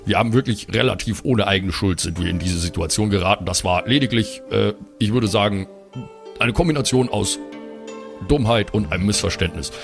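A man playing an earnest, worried elder-brother cleric in an improvised tabletop audio play, speaking politely and haltingly as he pleads. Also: fairly low pitch, vocal fry, soft background music. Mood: hesitant, pleading.

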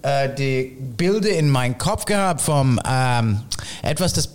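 A man's voice: medium pitch, expressive, a little slow. A man from England with a British accent, speaking German as a second language with many fillers in a casual chat about his shop. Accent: British English speaking German as a second language. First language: English. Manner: broken German, many fillers, laughs often. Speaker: shop owner guest.

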